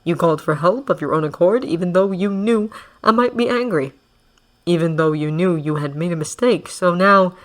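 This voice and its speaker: Woman doing a soft-spoken, scheming male villain. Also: fairly low pitch, close to an everyday voice. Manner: even, soft, coaxing.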